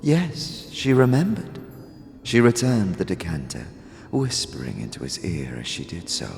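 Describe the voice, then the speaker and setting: A man plays a melancholy otherworldly woman in a fantasy audio drama. The speech is musical and deliberate, rising and falling dreamily over faint music. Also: low voice, big pitch swings, vocal fry, darkish tone, long reverb tail, full character voice. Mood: musing. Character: mysterious sad otherworldly woman who speaks of herself in third person.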